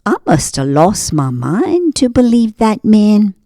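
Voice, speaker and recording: A woman's voice: medium pitch, very animated, takes it slowly, no echo, clean studio sound. A woman voicing a kindly, lonely man baker with a folksy way of talking. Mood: mumbling. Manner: folksy, soft mumble that rises to frantic shouts.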